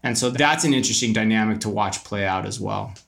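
A man's voice: lowish voice, quick pace, creaky, a bit bright. Opinionated man giving interview answers. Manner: opinionated, emphatic, rhetorical questions. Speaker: podcast guest, retail commentator.

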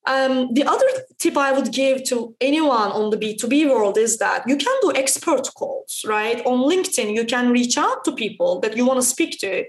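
Quick, emphatic Turkish-born woman founder. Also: a little high, lively intonation, roomy sound, poor audio. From Turkey. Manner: fast, emphatic, with frequent "right?" tags. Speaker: startup founder, guest. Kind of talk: podcast interview answers.

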